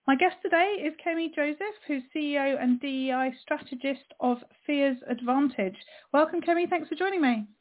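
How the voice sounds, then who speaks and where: A woman's voice: sits high, normal speed. Woman radio host on a thin line. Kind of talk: radio show hosting. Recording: tinny phone line.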